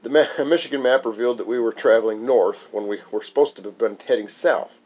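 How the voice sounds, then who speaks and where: A man's voice: medium pitch, quick pace, darkish tone. A man reading his own memoir aloud as a wry, amused storyteller. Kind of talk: memoir recitation, narrated reading.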